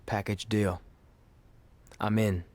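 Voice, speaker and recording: An actor playing a cocky but earnest young pro wrestler in a video game cutscene, wry and boastful. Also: male voice, fairly low pitch, flat delivery, takes it slowly, a little mellow. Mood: subdued, earnest.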